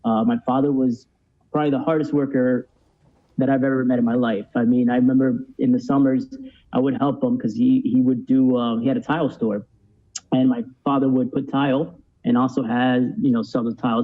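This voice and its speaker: A man's voice: mid-range voice, steady tone, brisk, highs cut off. A man in his thirties from Cuba, raised in the USA, chatting reflectively as a podcast guest with frequent "you know". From born in Cuba, moved to the USA as a child. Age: 30s. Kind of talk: casual conversational interview.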